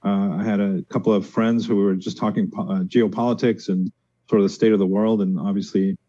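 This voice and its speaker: A man's voice: lowish voice, steady tone, a little fast, dark tone. A man born and raised in the United States, speaking as a guest in a thoughtful, hesitant way with many fillers. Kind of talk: casual interview talk. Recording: dull lo-fi sound.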